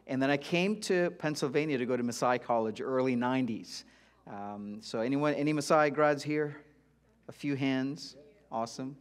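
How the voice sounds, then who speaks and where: A middle-aged man from India who grew up in Dubai, giving an informal sermon as a guest preacher in a conversational, halting way. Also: medium pitch. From India-born, grew up in Dubai. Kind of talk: informal sermon, personal testimony. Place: church auditorium stage, before a congregation.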